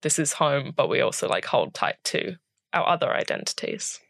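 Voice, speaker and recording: A woman's voice: low voice, big pitch swings, moderate pace, gravelly, fairly bright tone, no echo, clean studio sound. A young woman from Australia, a podcast co-host chatting casually in a joking, blunt way. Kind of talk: casual chat.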